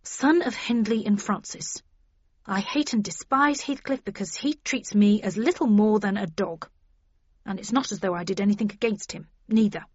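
A sullen young man, played by a woman narrator airs resentment in rough-spoken fashion. The storybook voice is husky and slightly muffled. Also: medium pitch, normal speed, a little mellow, dry recording, lightly acted. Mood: sullen, resentful.

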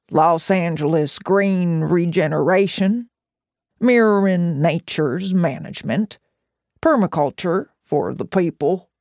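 Slow gravelly speaker, dry studio voice-over, steady roll-call reading. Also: mid-range voice, no echo. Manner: slow, even listing of names. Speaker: representative.

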